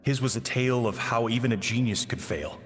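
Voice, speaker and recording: An actor voicing a grieving man in a game cutscene, who speaks in a solemn, measured and regretful way. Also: mid-range voice, natural voice. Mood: somber. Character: grieving man recounting a friend's tragic downfall. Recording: long reverb with music underneath.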